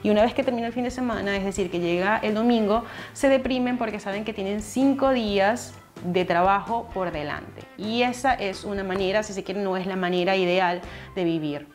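A woman's voice: medium pitch, a little fast, faint music behind. A young woman hosting a finance video, explaining steadily and speaking straight to the viewer from a couch at home on a lapel mic. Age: young adult. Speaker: finance channel host. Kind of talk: explanatory talk to camera. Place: living room, seated on a couch indoors.

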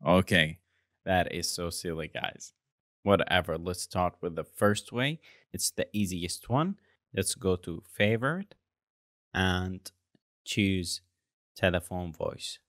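Low-voiced young man presenting a tutorial. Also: slow, long pauses, a bit bright, no room sound, studio quality. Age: 20s. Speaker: tutorial host. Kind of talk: software tutorial narration.

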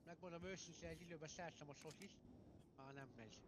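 A man with a gruff, sweary way of talking, chatting casually in a role-play game. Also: on the high side, fairly even intonation. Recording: noisy voice chat with clicks.

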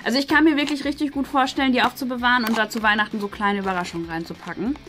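A woman's voice: a little high, fairly even intonation, very fast, fairly bright tone, a little hiss or hum. A woman in her thirties chatting casually and enthusiastically to viewers as she hosts an unboxing. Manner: gushing, enthusiastic. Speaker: host unboxing an advent calendar. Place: small furnished indoor studio.

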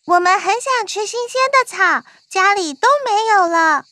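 A woman's voice: very high-pitched, expressive, moderate pace, sharp tone, dry recording, studio quality, heavily acted. A woman voicing a worried, curious young goat kid in a children's cartoon. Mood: pleading.

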